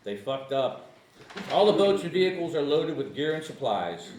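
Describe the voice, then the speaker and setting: A man's voice: on the high side, very animated, moderate pace, a little mellow. A man reading screenplay action lines at a comedic table read, casual, stumbling over words and chuckling. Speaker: screenplay narrator and reader. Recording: echoey room, far from mic, faint noise.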